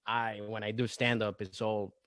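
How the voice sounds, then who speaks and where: A man's voice: fairly low pitch, little pitch movement, normal speed, a bit bright, dry recording. A young adult man from Venezuela with a Venezuelan accent, a comedian chatting as a guest in a loose, rambling way with many restarts and fillers. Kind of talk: casual podcast chat.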